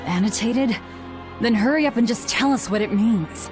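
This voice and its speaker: A woman's voice: fairly high pitch, moderate pace, lightly acted. A woman playing a blunt, impatient fighter with a curt manner in a video game cutscene. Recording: game music behind voice.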